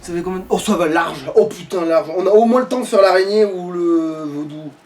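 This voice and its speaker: A man's voice: high-pitched, a little fast, a little mellow. A man playing a video game and commenting casually, muttering, swearing and thinking aloud. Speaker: player in a let's play. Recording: distant mic, faint background noise.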